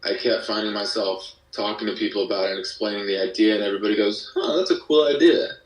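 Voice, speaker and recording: A man with a fairly low voice talks casually as an interview guest, in loose slangy phrases that wander. He sounds a bit distant from the microphone, in a clearly echoey room. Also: fast talker. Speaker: podcast guest, musician and audio drama creator. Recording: roomy echo, distant from mic.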